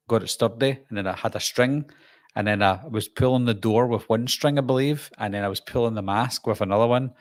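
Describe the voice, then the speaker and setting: A middle-aged man with a Glasgow accent hosts a live stream, reading viewer comments and rambling on with plenty of ums and restarts. His voice sits low with a gravelly edge. The sound is dry and close, with no room around it. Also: no room sound. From Scotland. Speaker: YouTube host.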